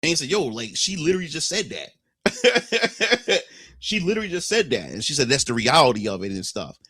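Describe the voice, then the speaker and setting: A young man with a Philadelphia accent tells a long story straight to the mic. His voice sits a little high and sounds bright and forceful, and the sound is dry and close. He talks casually, swears freely and keeps restarting his phrases. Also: sing-song, normal speed, husky, dry recording. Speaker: streamer.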